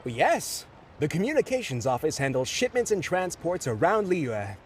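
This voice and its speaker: An actor playing a busy, polite male office clerk in a video game, speaking in a businesslike, slightly hurried way. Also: a little high, big pitch swings, a little hiss or hum.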